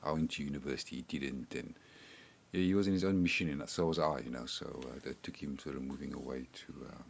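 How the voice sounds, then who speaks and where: A man's voice: extremely low pitch, fast talker, creaky, slight background noise. A man from South Africa with a South African accent, chatting casually on a podcast in a rambling way, often repeating words. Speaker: podcast guest and co-host. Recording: remote call.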